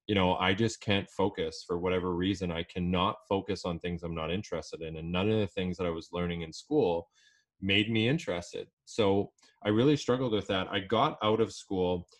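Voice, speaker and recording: A man in his thirties with a very low voice. He is a guest on a podcast and tells his story in a relaxed, rambling way, full of ums and likes. He is heard over a remote call. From Canada. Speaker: podcast guest, life coach. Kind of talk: casual interview talk.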